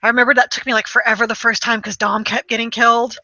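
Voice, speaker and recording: Woman, higher bright voice, casual gaming chat, animated storyteller, loose swearing, dry slightly dull recording. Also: fast talker, no echo. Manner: animated storytelling with acted-out quotes. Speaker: podcast host. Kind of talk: casual chat about video games.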